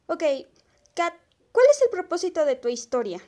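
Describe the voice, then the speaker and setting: A woman's voice: very high voice, very animated, normal speed, warm tone. A woman hosting an interview, introducing and putting prepared questions to a guest. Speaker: interview host.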